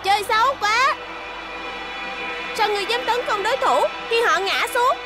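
A woman's voice: extremely high pitch, lively intonation, moderate pace, over loud music. A woman voicing a feisty, confident little robot girl in a dubbed cartoon, cheeky and exclamatory. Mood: defiant.

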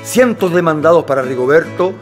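A man's voice: fairly high pitch, expressive, a little fast, faint music behind, close to an everyday voice. A man narrating a scripted radio drama as a composed, literary storyteller.

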